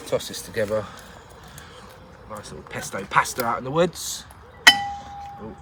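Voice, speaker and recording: A man's voice: on the low side, animated, measured pace, heavy background noise. A man hosting a casual outdoor cooking show in the woods, talking through each step with plenty of jokes and laughter. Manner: chatty, jokey, laughs often.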